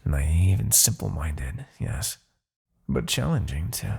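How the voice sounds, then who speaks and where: A man voicing an arrogant, brooding demon king in a fantasy ASMR roleplay, condescending and theatrical, with a husky, gravelly low voice and pauses. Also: very animated, a little mellow, exaggerated voice. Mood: brooding, bitter. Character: arrogant demon king, gloating yet begrudgingly attached captor.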